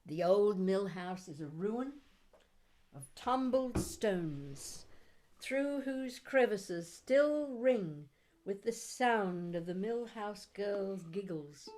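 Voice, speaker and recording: A woman's voice: mid-range voice, expressive, darkish tone, rough recording. A mature woman poet reading their own work expressively, with a dramatic, lilting delivery and chatty introductions. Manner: dramatic, deliberate, lilting delivery that drifts into half-singing. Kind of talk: expressive live poetry recital with chatty introductions.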